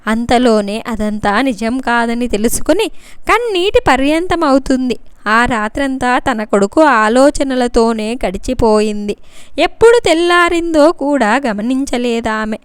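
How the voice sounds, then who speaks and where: Female story narrator, high-pitched and crisp, scripted read. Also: measured pace, dry recording.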